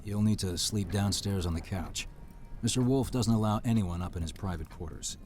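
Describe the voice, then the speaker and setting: A man acting a brusque, low-voiced fifty-ish gatekeeper. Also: moderate pace, darkish tone, a little hiss or hum, natural voice. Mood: dry, curt. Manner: curt, dismissive, formal. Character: brusque, protective fifty-ish male assistant guarding his employer. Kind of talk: screenplay table-read acting.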